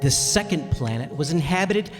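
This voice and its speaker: A man's voice: a little high, expressive, normal speed, lightly acted. A man narrating a storybook tale as part of a radio play. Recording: echoey hall with faint music.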